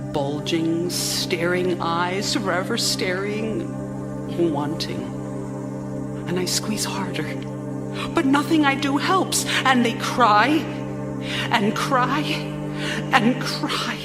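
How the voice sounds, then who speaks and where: A woman playing a fragile, anguished young Victorian wife in live radio theater, with deliberate, theatrical intensity. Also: on the low side. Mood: frightened, haunted. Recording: live theater recording with music underneath.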